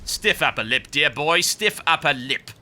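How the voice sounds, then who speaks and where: A man's voice: a little high, lively intonation, unhurried, sharp tone, a little hiss or hum, full character voice. A man voicing a pompous old British army officer in a haughty, clipped posh British accent, while playing a co-op game. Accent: put-on posh British. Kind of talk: in-character co-op game commentary.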